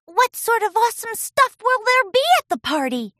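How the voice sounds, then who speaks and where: Woman doing a punchy, high-voiced tomboy filly. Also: sing-song, normal speed, a bit bright, no echo, clean studio sound, full character voice. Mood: eager. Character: sporty tomboy little filly pony.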